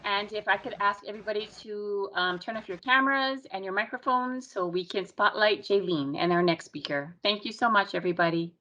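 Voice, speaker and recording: Bright-voiced woman hosting an online event. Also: mid-range voice, a little fast, few pauses, dull audio. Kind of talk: informal meeting housekeeping.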